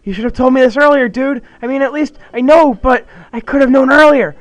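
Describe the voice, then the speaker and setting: A man's voice: fairly quick, dry recording, dull audio. A man voicing a paranoid, panicky young male shut-in who rants frantically. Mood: frantic. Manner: frantic, shrill ranting.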